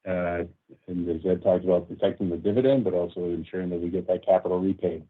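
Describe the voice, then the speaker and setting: A man's voice: low-pitched, fairly even intonation, fast. A male company executive explaining business matters conversationally on a conference call. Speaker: company executive answering analysts. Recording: narrowband conference phone line.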